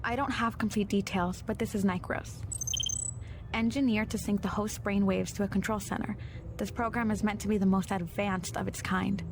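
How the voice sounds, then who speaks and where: An actress playing a composed, precise intelligence operative with a formal, factual manner in an audio drama. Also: female voice, mid-range voice, fairly even intonation, normal speed, lightly acted. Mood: composed, businesslike. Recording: clearly noisy ambience behind.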